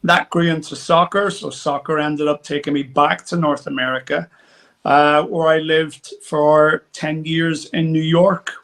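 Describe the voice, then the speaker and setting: Gravelly Northern Irish man. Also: a little high, steady tone, measured pace, a bit bright. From Derry, Northern Ireland. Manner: rambling, many fillers and restarts. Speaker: guest. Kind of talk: conversational interview.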